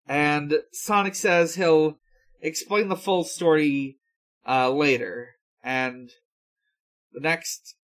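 A man co-hosting a casual recap discussion, explaining things in a halting, hesitant way. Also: fairly high pitch. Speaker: podcast co-host recapping episodes. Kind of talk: casual episode recap with co-hosts.

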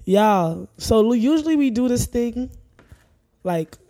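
A woman's voice: a little high, lively intonation, slow, mellow. A woman co-hosting a podcast in casual chat, playful and slangy, talking straight to her listeners. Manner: playful, hypes the audience with slangy asides. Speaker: podcast co-host.

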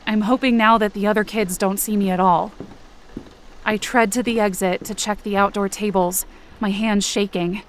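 A woman's voice: mid-range voice, steady tone, moderate pace, slight background noise, close to an everyday voice. A woman playing an anxious, injured young camp counselor, narrating a first-person audio drama in a tense, dramatic way. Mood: uneasy, tired. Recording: rain and ambience behind the voice. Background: rain and outdoor ambience effects.

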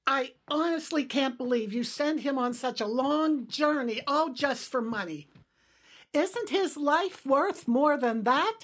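A woman's voice: high voice, a little slow. A woman playing a fretful, stubborn older mother and wife in an audio drama. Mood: despairing, scolding.

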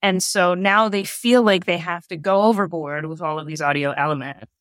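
A woman's voice: fairly low pitch, steady tone, moderate pace, a bit bright, dry recording, clean studio sound. A woman speaking as an interview guest, explaining creative work in a casual, conversational style.

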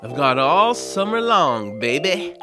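An eager young hero of a family audio drama, played by a man. The voice sits fairly high and moves around with a lively lilt, friendly and encouraging. Music plays clearly underneath. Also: slow. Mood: playful. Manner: cheerful, coaxing. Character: upbeat, eager young human adventurer, friendly and optimistic.

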